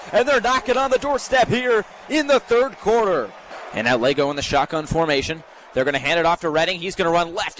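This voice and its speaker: A man's voice: sits high, a bit bright. An American man doing live radio play-by-play in short, clipped announcer phrases, as a sportscaster. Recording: noisy live stadium radio broadcast. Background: stadium ambience.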